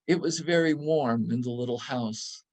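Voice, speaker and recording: A man reading a literary story aloud for a church service, with measured, deliberate phrasing. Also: mid-range voice, a little mellow. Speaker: readers theater reader at a church service.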